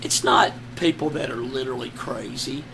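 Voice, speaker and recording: A hoarse man lying on the floor at home, talking slowly and despairingly in halting, trailing sentences. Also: medium pitch, noisy background. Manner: slow, halting, despairing, many trailing restarts. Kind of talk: casual personal vlog.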